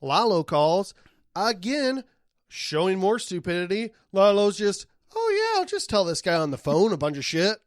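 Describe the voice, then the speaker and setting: A man's voice: sits high, very animated, moderate pace, no echo, studio quality. A man co-hosting a podcast in casual chat, crude and sarcastic, swearing freely. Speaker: podcast co-host.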